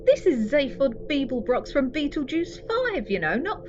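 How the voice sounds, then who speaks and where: A woman playing a wry, quick-witted alien hitchhiker, easygoing yet incredulous, in an amateur sci-fi audio comedy. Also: sits high, big pitch swings. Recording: muffled audio with background noise.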